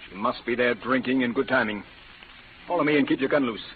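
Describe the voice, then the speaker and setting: An actor playing a stern, proud frontier rancher in an old radio western, speaking formally and earnestly. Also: male voice, medium pitch, a little fast, close to an everyday voice. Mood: angry. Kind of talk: 1940s-50s radio western acting. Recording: muffled, noisy vintage radio recording.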